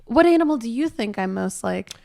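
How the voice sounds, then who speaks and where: A young Canadian woman co-hosting a podcast in casual, chatty, self-deprecating talk with a creaky voice. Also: a little high, animated, a little fast, a little mellow. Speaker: podcast co-host.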